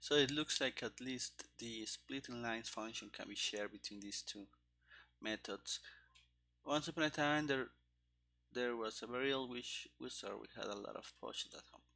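Male, thirties, casual coding streamer thinking aloud. Bright, crackly voice with plenty of fry, halting delivery. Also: mid-range voice. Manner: halting, musing to himself. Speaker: streamer, game developer. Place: indoor room at a computer. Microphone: headset. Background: keyboard typing and clicking.

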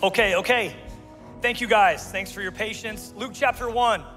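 A man in his forties, a pastor preaching an energetic, joking sermon into a handheld mic on a large church stage. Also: high voice, moderate pace, some chatter behind. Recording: live sermon in a large hall with faint music.

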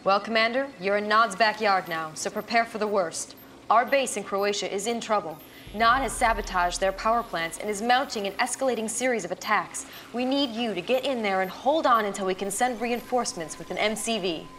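An actress playing a brisk, stern military officer giving mission briefings in a video game. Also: female voice, mid-range voice, a bit bright, close to an everyday voice. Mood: serious, urgent. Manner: firm, crisp briefing. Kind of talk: video game cutscene acting. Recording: faint ambient noise behind.

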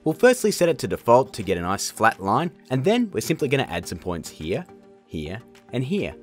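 A man hosting a casual software tutorial in a chatty, jokey way. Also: mid-range voice, huge pitch swings, moderate pace, faint music behind. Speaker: tutorial host. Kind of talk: casual screen-recorded tutorial narration.

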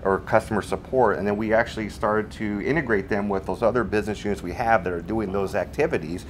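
A man with a very low, deep voice talks through his points in a measured, explanatory way, dropping in plenty of fillers. He is a panelist in a studio discussion, with a faint rumble underneath.

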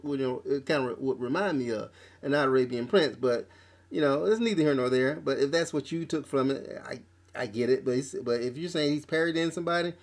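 Halting man reviewing an album. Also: a little high. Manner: halting, many fillers and restarts. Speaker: podcast host reviewing an album. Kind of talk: casual album review chat.

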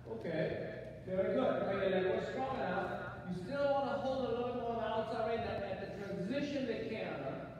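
A middle-aged man coaching a rider live, giving short encouraging instructions and patient explanations. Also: sits high. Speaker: riding clinic instructor. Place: large indoor riding arena. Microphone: spectator's camera at some distance. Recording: echoey hall, distant mic, loud background noise.

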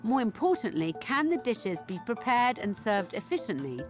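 Lady doing scripted voiceover, calm and clear, music behind. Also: on the high side, normal speed. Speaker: narrator. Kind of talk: scripted narration. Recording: music bed underneath.

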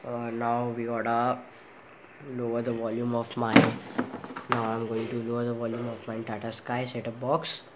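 A man with an Indian accent giving an unscripted step-by-step tech demonstration in a halting, repetitive way. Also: mid-range voice, completely flat delivery, heavy background noise. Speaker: tech tutorial presenter. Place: indoor room in a home, in front of a TV.

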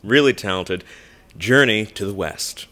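Bright-voiced young male announcer. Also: lowish voice, measured pace. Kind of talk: live show introduction. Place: small studio, indoors. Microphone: boom stand microphone, close.

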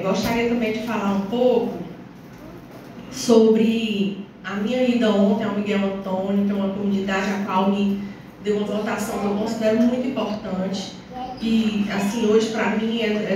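A woman with a northeastern Brazilian accent giving a semi-formal, somewhat halting public speech as a town councillor. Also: fairly high pitch. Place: small council chamber, indoors. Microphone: phone or camera several metres away. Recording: distant, very echoey, noisy room recording.

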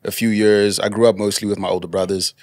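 Calm, low-key guest from Congo. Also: male voice, quick pace, dry recording. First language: French. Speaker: podcast guest. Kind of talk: casual chat.

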